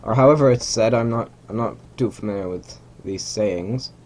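Young hungarian guy, lowish voice, rambly game commentary at his desk, keeps fixing his own words, faint room hum. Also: moderate pace, darkish tone. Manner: rambling, self-correcting, chuckling asides. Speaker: gamer narrating a rocket launch. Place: small room at home.

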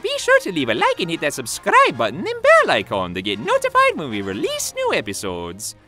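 A woman plays a small, cheerful cartoon plumber hero, cocky and teasing. The voice is bright and forceful, bouncing wildly in pitch. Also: wildly swooping pitch, brisk, faint music behind, exaggerated voice. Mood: upbeat. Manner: upbeat, teasing. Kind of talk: animated fan cartoon acting.

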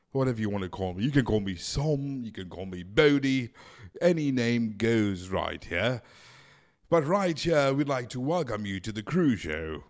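A man hosting a comedy show in a theatrical, mock-formal way. His pitch swings widely while he keeps an unhurried pace. He speaks into a desk mic in a small home studio. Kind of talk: hammy scripted comedy hosting. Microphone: desk mic on boom arm.

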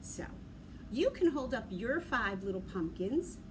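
A woman's voice: a little high, big pitch swings, measured pace. A middle-aged woman leading a children's story time with rhymes and action songs, giving simple, encouraging instructions to young children. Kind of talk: children's sing-along and rhyme session, talking to young children. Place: indoor library room with large windows. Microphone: camera a few feet away. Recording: clearly noisy room ambience.

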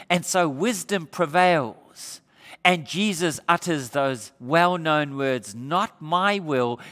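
Middle-aged guy pastor from australia preaching, lively up and down voice, headset mic, church echo. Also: on the high side. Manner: animated preaching with rhetorical questions, gesturing while standing. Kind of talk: sermon. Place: church sanctuary stage, indoors. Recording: reverberant church hall.

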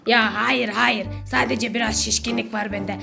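Over music, a woman puts on a greedy, pot-bellied neighbour from a kids' skit. The voice is creaky and whining, always pleading for food or muttering sneaky plans to itself. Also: fairly high pitch, steady tone, normal speed, sharp tone, heavily acted. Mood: whiny pleading. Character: whiny, greedy, ever-hungry pot-bellied neighbour intruder scheming for snacks. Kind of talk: children's pretend-play skit.

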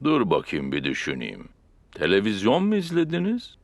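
A man voicing a big, kindly, playful daddy pig in a children's cartoon dub, with drawn-out fatherly exclamations. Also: on the low side, wildly swooping pitch, gravelly, slight background noise, heavily acted.

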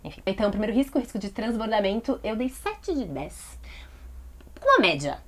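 A young Brazilian woman co-hosts a relaxed comic book review from a room at home. She talks quickly with a bouncy, animated melody and a playful, giggly manner. Her voice is picked up by a lapel mic. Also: medium pitch. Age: young adult. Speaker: co-host. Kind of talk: casual review chat.

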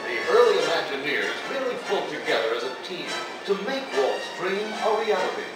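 A man narrates an exhibit show in a playful, theatrical way, his fairly high voice rising and falling a lot. It is captured from far off in a very echoey hall. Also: sing-song, fairly quick. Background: loud music.

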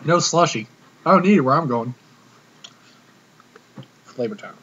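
A young man with a fairly high voice talks through a muffled webcam mic with faint noise. He vlogs casually from a small room, cocky and full of slang. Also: lively intonation, a little slow, a bit bright. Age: young adult. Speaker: vlogger, prank caller. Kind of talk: casual comedic vlog chat to camera. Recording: dull webcam audio with noticeable hiss.

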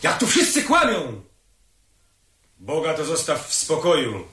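A man's voice: fairly high pitch, wildly swooping pitch, unhurried, sharp tone, full character voice. An actor playing a cynical, mocking young man in a theatrical radio drama, impatiently repeating himself. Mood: amused, mocking. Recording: distant, echoey radio play recording.

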